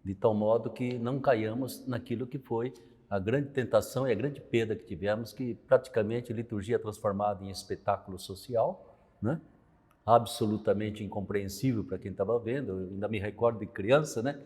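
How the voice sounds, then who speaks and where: A man's voice: on the low side, normal speed, a little mellow, slightly rough. An older man from Brazil, a priest, talking in a reflective conversational way, often ending phrases with a questioning aside. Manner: reflective, tells memories, frequent "né?". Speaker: priest and show host. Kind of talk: conversational religious talk. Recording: long hall reverb.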